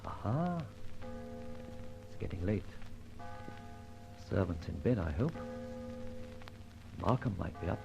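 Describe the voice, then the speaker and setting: Jaunty, swooping gentleman rogue. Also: deep voice, sing-song, slow pace, gravelly, pauses often, over music, heavily acted, rough recording. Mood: stealthy muttering. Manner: theatrical, breezy, muttering asides to himself. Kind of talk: old-time radio detective serial acting.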